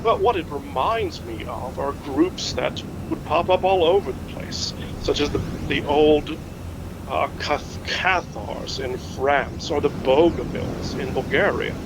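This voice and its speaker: A man playing a learned, hesitant history scholar in a naturalistic fiction podcast, speaking in a halting, pedantic way. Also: fairly high pitch, noisy background. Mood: musing.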